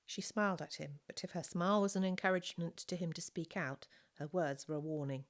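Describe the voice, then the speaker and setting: Female audiobook narrator, low register, scripted storybook reading. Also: fairly quick. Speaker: audiobook reader.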